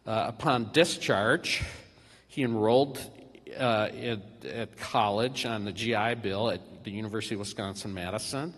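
A middle-aged man giving a eulogy for his father at a church podium, speaking haltingly with many fillers and restarts. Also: lowish voice, a bit bright. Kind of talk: memorial eulogy. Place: large church sanctuary. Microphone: podium microphone. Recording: reverberant church hall.

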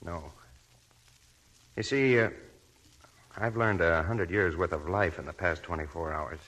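An earnest, deep-voiced young lieutenant. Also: male voice, unhurried, fairly bright tone. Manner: earnest, hesitant. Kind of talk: 1940s radio drama acting.

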